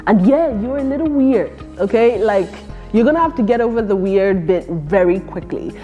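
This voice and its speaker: Young female poet from Ireland with Nigerian roots, mid-pitched, reflective and earnest, with faint music behind. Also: animated, moderate pace, warm tone. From Ireland, of Nigerian heritage. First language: Yoruba alongside English. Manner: thoughtful, earnest, with frequent fillers and restarts. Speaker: poet and performer. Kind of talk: reflective interview answers.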